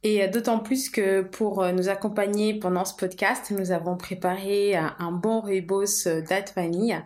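A woman's voice: medium pitch, fairly even intonation, normal speed, darkish tone. A young woman from Portugal, co-hosting a podcast about her brand, talking in a hesitant, earnest way with many fillers. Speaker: podcast co-host, brand founder. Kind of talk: casual conversational podcast.

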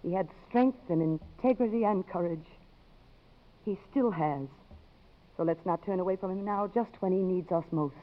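An actress playing a poised, principled, outspoken woman in a 1950s radio drama, measured and articulate. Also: lowish voice, natural voice. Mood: tender, earnest. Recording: muffled old radio broadcast.

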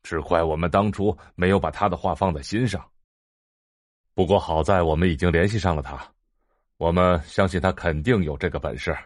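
A man's voice: sits low, moderate pace, no room sound, studio quality. An actor playing an earnest man pleading his case in an audio drama. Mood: earnest, urgent. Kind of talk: multi-voice audio drama acting.

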